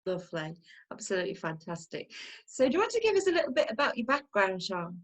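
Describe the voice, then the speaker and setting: A woman's voice: mid-range voice, big pitch swings, fast talker. A woman hosting an interview over a video call, talking in an effusive, encouraging way. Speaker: host. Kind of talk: casual interview chat.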